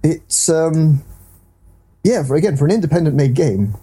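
A man's voice: on the high side, lively intonation, normal speed, warm tone, breathy edge. A man co-hosting a casual games podcast, talking in a hesitant, rambling, self-deprecating way. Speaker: podcast co-host.

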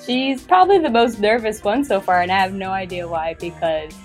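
A woman's voice: medium pitch, fairly quick, soft background music. A woman hosting a podcast interview in an upbeat, encouraging way. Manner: upbeat, encouraging of her guest. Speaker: podcast host.

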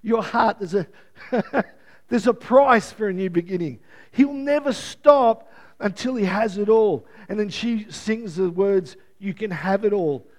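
A male preacher with a high-pitched voice that is wearing thin and straining. He talks to the congregation in an earnest, conversational way, often restarting phrases and chuckling lightly between points. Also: a little mellow. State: losing his voice. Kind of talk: sermon.

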